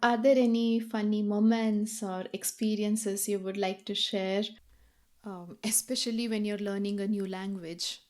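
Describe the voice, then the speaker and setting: A woman hosting a podcast interview, asking questions gently and adding short comments. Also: mid-range voice, steady tone, a little slow. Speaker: podcast host.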